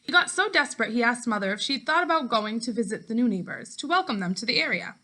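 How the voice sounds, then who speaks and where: Bright, higher-voiced woman reading aloud. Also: animated, fast talker. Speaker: teacher reading a book aloud to fifth graders. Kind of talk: read-aloud storytelling for children.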